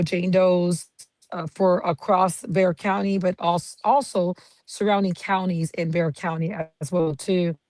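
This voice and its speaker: A woman in her fifties from San Antonio, Texas, a county clerk giving steady, detailed answers as a guest. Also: fairly low pitch, no echo. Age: 50s. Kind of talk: interview answers.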